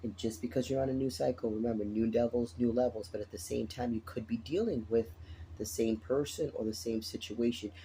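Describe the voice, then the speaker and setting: Tired raspy-voiced man reading tarot. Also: mid-range voice, fairly even intonation, a little fast, mellow, a little hiss or hum, low-quality recording. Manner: chatty, repeats phrases, laughs often. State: tired, morning voice. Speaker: tarot reader. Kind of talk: casual spoken tarot reading.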